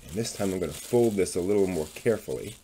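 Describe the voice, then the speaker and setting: A man's voice: low-pitched, lively intonation, quick pace. A man talking casually through a hands-on craft demonstration, muttering tentatively to himself as he works. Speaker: craft vlog host. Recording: clear background noise with clicking.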